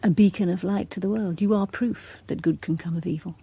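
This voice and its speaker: British lady radio host, soft husky voice, calm measured questions. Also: fairly low pitch. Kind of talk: radio interview.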